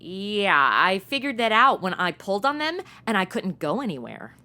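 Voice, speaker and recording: A woman's voice: mid-range voice, very animated, normal speed, bright, forceful tone. A woman playing a flippant, chatty young woman with a sassy, irreverent way of talking in a comedy audio drama. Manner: sassy, irreverent, modern slang.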